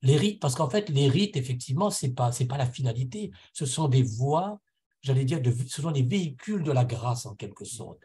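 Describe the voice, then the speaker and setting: A middle-aged man from Réunion island speaks at a conference with a warm, mellow tone, sharing his own spiritual experience. He is earnest and reflective, often hunting for the right word and repeating himself mid-thought. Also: mid-range voice, animated, moderate pace. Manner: searching, hesitant, restarts and repeats words. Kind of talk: personal spiritual testimony at a conference.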